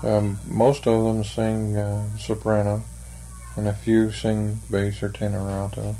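Older man, gravelly and flat, slow hesitant talker; faint noise on the tape. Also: lowish voice, rough recording. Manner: slow, hesitant, thoughtful, many fillers. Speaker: interviewee, church member. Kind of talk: oral history interview.

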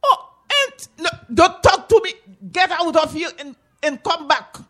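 A woman's voice: lively intonation, slow pace. An older woman storyteller voicing a harsh, bossy auntie who scolds and threatens. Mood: scolding. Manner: shrill and scolding.

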